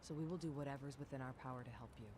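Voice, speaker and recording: A firm, composed leader in a game cutscene. She talks quickly and with assurance, in a businesslike way, over clear background rumble. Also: fairly even intonation. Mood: firm, assured. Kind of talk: video game voice acting.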